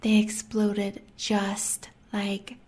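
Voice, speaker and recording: An American woman playing a sadistic, adoring werewolf huntress in an audio roleplay, murmuring in a breathy, sweetly sinister way. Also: medium pitch, completely flat delivery, extremely slow, darkish tone. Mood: sadistic delight.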